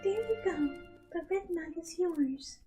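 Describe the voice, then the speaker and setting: Cartoon pegasus mare: tiny, very high, soft and sweet, timid and kindly, music underneath. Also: female voice, expressive, slow, heavily acted. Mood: kindly, hospitable. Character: gentle, timid young female pegasus pony. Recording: music bed behind the voice. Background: instrumental music.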